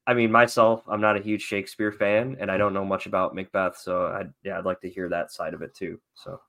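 Low, creaky-voiced male podcast host. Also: little pitch movement, brisk, fairly bright tone. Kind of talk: casual chat.

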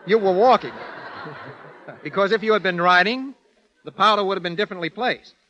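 High, forceful man on vintage radio. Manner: precise, explanatory. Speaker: guest detective. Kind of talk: prepared 1930s radio talk. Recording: dull, lo-fi 1930s radio recording.

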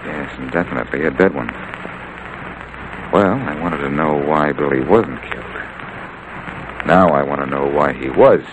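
Low-voiced wisecracking radio detective. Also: male voice, moderate pace, pauses often. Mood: wry. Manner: wisecracking, nonchalant. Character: wry, flirtatious, self-assured male amateur detective. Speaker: lead actor in a detective radio series. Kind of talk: old-time radio drama acting. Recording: noisy vintage radio recording.

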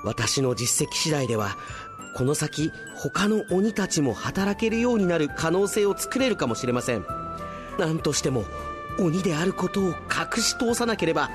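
A man's voice: fairly high pitch, big pitch swings, normal speed, music in the background. A man voicing an earnest, polite demon who works as a strict, anxious office accountant in a comic radio drama. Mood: anxious resolve. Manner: prim, polite speech, anxious and stiff.

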